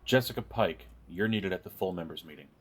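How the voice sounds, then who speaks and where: Man acting a stern, very deep-voiced authority figure. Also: fairly quick, slightly rough. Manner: curt, commanding. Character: stern authoritative man ordering a youth away.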